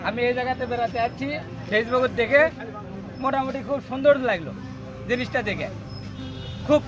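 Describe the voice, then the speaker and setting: A man from Bangladesh visiting a river spot, talking outdoors in a relaxed, pleased way. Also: extremely high pitch, normal speed, over music. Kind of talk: casual on-location comments.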